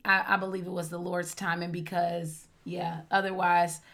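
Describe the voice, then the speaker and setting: A woman from Oklahoma hosting a podcast, upbeat and expressive in casual chat. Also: on the low side, normal speed. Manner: upbeat, expressive, says "honey". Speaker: podcast host.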